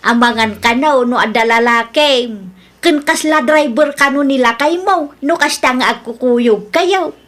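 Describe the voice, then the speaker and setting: An actress as a village mother in a radio comedy drama. Her voice is very high. She speaks frankly and matter-of-factly, admonishing the listener. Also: measured pace, fairly bright tone.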